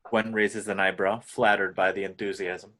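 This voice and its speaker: Man, low voice, scripted narration, reading stage directions. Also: flat delivery, moderate pace, fairly bright tone.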